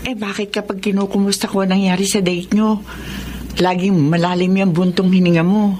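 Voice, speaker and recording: An actress playing a concerned older sister in a radio drama, probing and insistent. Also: female voice, mid-range voice, level tone, normal speed, mellow, close to an everyday voice. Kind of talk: Tagalog radio drama acting. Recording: noisy radio drama soundtrack.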